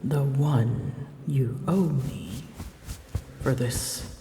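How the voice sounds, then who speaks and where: A woman voicing a prickly, proud ancient dragon with guarded tenderness in an ASMR roleplay, speaking formally and haltingly, often dropping toward a whisper. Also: very deep voice, lively intonation, mellow, a little hiss or hum, heavily acted. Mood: quiet, deliberate. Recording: echoey, reverberant, faint background ambience.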